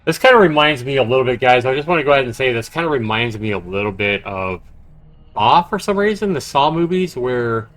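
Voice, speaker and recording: A man's voice: medium pitch, lively intonation, a little fast, fairly bright tone. A man livestreaming a horror visual novel, reading it aloud dramatically and chatting casually about it. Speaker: livestreamer playing and narrating a game.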